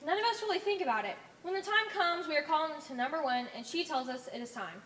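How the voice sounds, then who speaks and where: A woman's voice: high-pitched, animated, fast, a bit bright. A woman on stage playing a solemn member of a strange blue cult, earnest and matter-of-fact. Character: solemn blue cult member from a laboratory-made cell society. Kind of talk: amateur one-act stage play acting. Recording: echoey stage, noisy room ambience.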